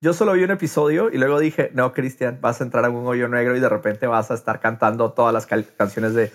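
A man's voice: mid-range voice, a little fast. A man with a Latin American accent chatting casually and expressively on a podcast, often repeating words as he thinks aloud. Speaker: podcast host.

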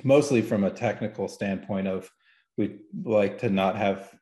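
Echoey, low-voiced man on a podcast. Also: measured pace, mellow. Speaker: podcast guest. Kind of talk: casual interview talk. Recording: roomy, echoey sound.

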